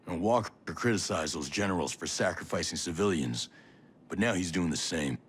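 A stoic male special forces veteran in a game. He is deep-voiced, terse and wary, with faint ambient noise behind him. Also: expressive, moderate pace. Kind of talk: cinematic video game acting.

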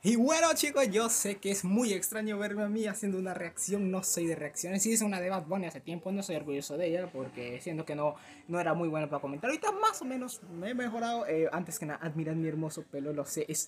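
High-voiced young Latin American man, rambling. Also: fast talker, hardly any pauses. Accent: Latin American Spanish. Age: teens to early twenties. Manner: animated, rambling, self-deprecating. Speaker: YouTuber reacting to a fan dub. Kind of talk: casual chat to camera. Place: small cluttered room at home.

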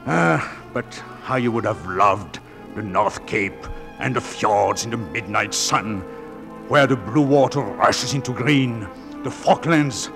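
A gruff old-time radio ghost of a sea captain, played by a man, speaking slowly and with sweeping intonation over prominent music. He is theatrical, wistful and in love. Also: mid-range voice. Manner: theatrical, tender, wistful delivery. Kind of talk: 1940s radio play acting.

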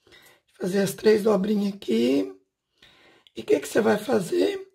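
A woman's voice: mid-range voice, very animated, measured pace, gravelly, dark tone. A woman, casually explaining a home craft step by step while she works, asking the viewer questions as she goes. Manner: chatty, talks while working with her hands, asks the viewer questions. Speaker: craft channel host. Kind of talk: casual hands-on tutorial. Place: home room, indoors.

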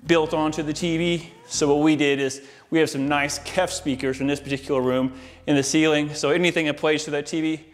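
A man's voice: on the high side, little pitch movement, brisk, hardly any pauses. An American man in his forties giving an enthusiastic, informal walk-through to camera as a home installer. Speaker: home audio-video installer giving a tour. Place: inside a large, mostly empty house.

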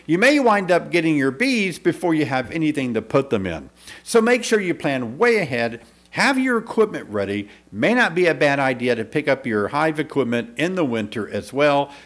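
A man in his fifties, a beekeeping instructor giving an upbeat, persuasive video lesson to camera in a small indoor studio, speaking into a desk mic. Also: a little high. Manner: upbeat, persuasive, clear teaching delivery. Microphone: desk microphone in front.

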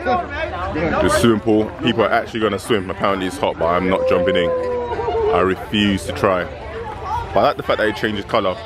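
A man's voice: lowish voice, wildly swooping pitch, moderate pace, pauses often, over a noisy crowd. A man in his thirties chatting in a jokey, upbeat vlog and teasing the family. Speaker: vlogger. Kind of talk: casual vlog chat.

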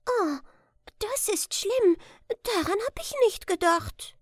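An innocent little girl full of curiosity, acted by a woman reading a storybook. Her voice is high and very lively, with a naive, wide-eyed manner. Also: slow, dry recording, clean studio sound, full character voice. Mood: worried. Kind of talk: storybook character voice.

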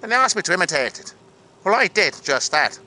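A man's voice: high voice, sing-song, brisk, sharp tone, a little hiss or hum, full character voice, poor audio. A man playing an eager, proud Irish actor with an Irish accent, recounting auditions in a vlog monologue. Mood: animated, proud. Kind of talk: vlog monologue with acted dialogue.

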